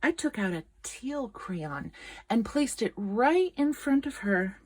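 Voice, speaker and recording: A woman reading a children's picture book aloud in an expressive, dramatic storytelling manner. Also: on the high side, takes it slowly. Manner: expressive, dramatic, with gasps and whispered asides.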